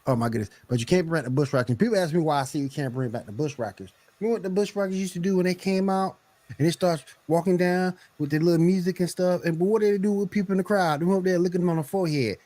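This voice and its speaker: A man's voice: high-pitched, fast talker, warm tone. A man hosting a casual podcast chat, laid-back and fond of repeating a phrase for laughs. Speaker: podcast host.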